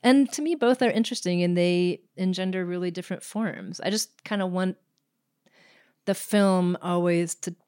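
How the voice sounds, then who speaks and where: A woman filmmaker speaking as an interview guest, with a slightly low voice in a very dry, close sound. She is reflective and conversational, feeling her way toward the right word. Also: normal speed, a little mellow, smooth, dry recording.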